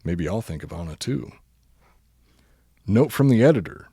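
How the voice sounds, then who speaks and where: A man reading a comic bedtime story aloud as a podcast host, mostly deadpan but prone to giggling. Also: on the low side, normal speed, slightly rough, no echo, studio quality.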